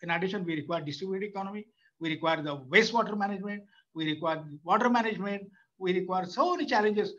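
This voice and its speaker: An older man with an Indian English accent giving an unscripted webinar talk, halting with many restarts and fillers, recorded over a video call. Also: sits high, fairly bright tone. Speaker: webinar speaker, former institute chairman. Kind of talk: unscripted speech. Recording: dull lo-fi webinar audio.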